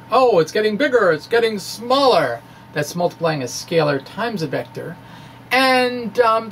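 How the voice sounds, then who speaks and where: A man giving a dramatic, conversational reading as a physics explainer, with wry asides and a halting delivery. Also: sits high, fairly bright tone, slight background noise. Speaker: amateur physics presenter.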